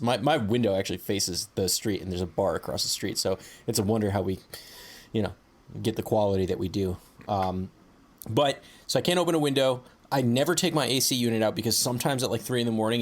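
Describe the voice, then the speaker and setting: A young man co-hosting a podcast from his city apartment, laid-back, swearing and full of fillers. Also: on the low side. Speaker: podcast co-host. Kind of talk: casual chat.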